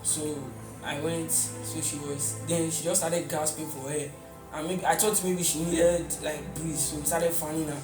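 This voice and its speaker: A young Nigerian man with a higher voice speaks in a flat, even tone, pausing and hesitating as he goes. The room echoes, and music plays underneath. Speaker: interviewee. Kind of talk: reflective interview answers. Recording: roomy echo with music behind.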